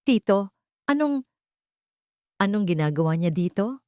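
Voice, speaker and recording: A woman's voice: mid-range voice, lively intonation, a little slow, mellow, smooth, dry recording, clean studio sound, close to an everyday voice. Woman voicing a volatile, bitter male villain. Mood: confused. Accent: Filipino. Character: scheming, desperate male villain, cornered and bitter.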